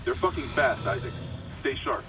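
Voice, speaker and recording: A man's voice: medium pitch, animated, moderate pace, recorded from a distance, over loud music, natural voice. An actor playing a commanding, plain-spoken male security officer in a video game cutscene. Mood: firm, steadying. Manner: authoritative, plain-spoken, profane.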